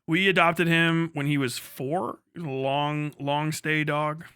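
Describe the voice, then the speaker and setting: Male livestreamer, lightly raised pitch, crisp bright edge. Casual, rambling, jokey and foul-mouthed chat to viewers. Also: fairly even intonation, slow, dry recording.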